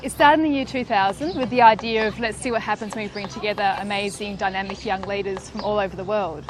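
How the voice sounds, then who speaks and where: A woman giving a measured, explanatory documentary voice-over. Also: medium pitch. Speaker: documentary narrator.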